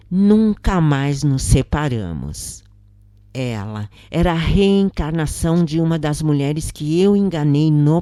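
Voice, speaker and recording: A Brazilian woman narrates a scripted story at a slow, measured pace. Her voice is low and mellow, captured close and dry with hardly any room around it. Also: no echo. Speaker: narrator reading a spiritist story.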